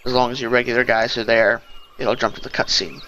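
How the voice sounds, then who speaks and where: A man's voice: mid-range voice, level tone, fairly quick, sharp tone, a little hiss or hum, poor audio. A man giving casual, rambling gameplay commentary, thinking aloud as he goes. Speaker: let's play host. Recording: game music behind the voice. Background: fantasy game music and sounds.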